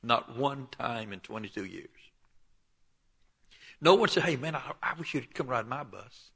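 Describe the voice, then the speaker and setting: Older southern preacher, folksy and bright. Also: male voice, mid-range voice, expressive, a little slow. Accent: Southern US, Alabama. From southern Alabama. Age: 70s. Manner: folksy storytelling with asides and clearing his throat. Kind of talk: sermon with personal testimony. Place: church.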